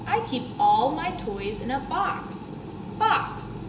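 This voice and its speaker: A woman voicing a stern male schoolteacher from a storybook, announcing spelling words with deliberate enunciation. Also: high voice, sing-song, full character voice. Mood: formal. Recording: roomy classroom echo, clear background noise.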